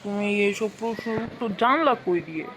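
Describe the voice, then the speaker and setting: A good-natured, slow-witted cartoon uncle, played by a woman stumbles through riddles in a Bangladeshi Bengali accent, drawing out hesitant sounds. The voice sits at a middling pitch. A clear layer of noise runs under the recording. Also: lively intonation, lightly acted. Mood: bumbling. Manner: hesitant, drawn-out thinking sounds. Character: easygoing bumbling middle-aged uncle. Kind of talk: cartoon dubbing. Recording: noisy home recording.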